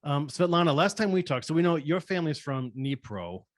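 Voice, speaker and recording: A man's voice: fairly high pitch, animated, brisk, no echo. Male interview host, American accent, middle-aged, casual delivery, close dry microphone. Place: indoors on a video call. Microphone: close broadcast microphone on a stand.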